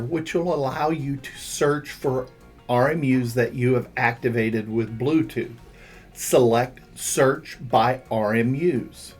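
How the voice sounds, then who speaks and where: A man's voice: mid-range voice, darkish tone. A man reading a scripted instructional narration as a tutorial narrator, guiding the listener step by step. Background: background music.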